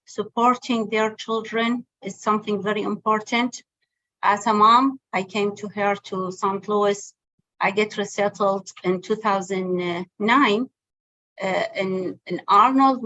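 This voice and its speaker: Arabic-native woman speaking haltingly on a panel. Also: mid-range voice, creaky, a bit bright, poor audio. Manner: halting non-native phrasing, many fillers. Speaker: panelist, family support worker. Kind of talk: panel discussion talk.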